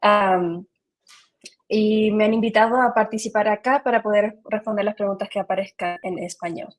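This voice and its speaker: Brief: woman, Spanish speaker, medium pitch, casual bilingual livestream panelist. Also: fairly even intonation, brisk. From Chile. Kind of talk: casual introduction and question answering.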